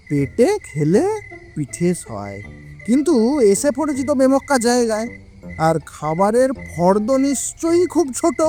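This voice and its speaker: Man acting a fussy, high-swooping timid policeman. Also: warm tone, exaggerated voice. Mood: pleased. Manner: grumbling, questioning, exclamatory. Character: fussy, timid, pot-bellied food-loving policeman. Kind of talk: audio story dramatization.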